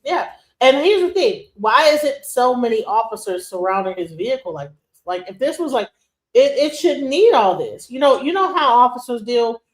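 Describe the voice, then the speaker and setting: A woman's voice: a little high, big pitch swings, moderate pace, rough recording. A woman co-hosting a live stream, emphatically explaining and commenting on a video. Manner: emphatic, explaining, counts things out. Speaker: stream co-host.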